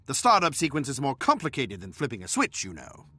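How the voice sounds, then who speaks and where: A man's voice: medium pitch, wildly swooping pitch, brisk, bright, forceful tone, full character voice. A man voicing a pompous, exacting small gnomish inventor who leads a research team in a video game, speaking precisely and formally. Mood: officious, businesslike. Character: pompous, exacting male asura inventor leading a research krewe. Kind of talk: video game cutscene voice acting.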